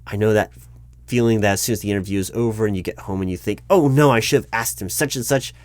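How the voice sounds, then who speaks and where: Man playing a low-voiced, hesitant hiring manager. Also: fast talker, darkish tone, no echo, close to an everyday voice. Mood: courteous. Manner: hesitant, stammering, diplomatic. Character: polite, awkwardly tactful male corporate hiring manager. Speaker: recruiting manager conducting a job interview. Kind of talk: one-sided ASMR roleplay, spoken aloud.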